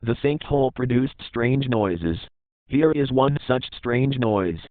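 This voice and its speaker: A man narrates a scripted horror story as a documentary report, at an average pitch. The sound is thin and narrow, like a phone line, with very little room in it. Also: animated, takes it slowly, dry recording.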